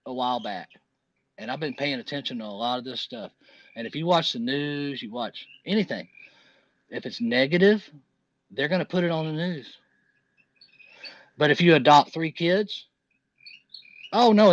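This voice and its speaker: A man's voice: a little high, dry recording. A man in his fifties talking at length on a live audio chat, rambling and emphatic, with restarts. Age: 50s. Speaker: caller. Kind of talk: casual chat. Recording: dull lo-fi audio.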